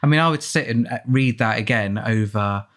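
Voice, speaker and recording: A man chatting casually in a discursive, thoughtful way, as in a relaxed film commentary. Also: mid-range voice, moderate pace. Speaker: author and film commentary speaker.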